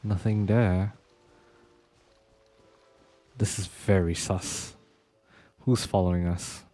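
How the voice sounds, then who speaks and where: A woman's voice: extremely low pitch, steady tone, slow pace, dark tone. A woman streaming a video game with casual commentary, reacting briefly and reading some lines aloud. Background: game music.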